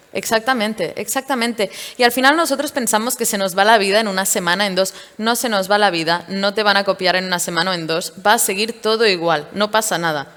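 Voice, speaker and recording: A woman from Portugal tells founder stories on stage in a candid, lively voice, with plenty of fillers. Her pitch sits mid-range, and a long hall reverb trails her words. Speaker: startup founder. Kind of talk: stage interview at a tech event. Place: event stage.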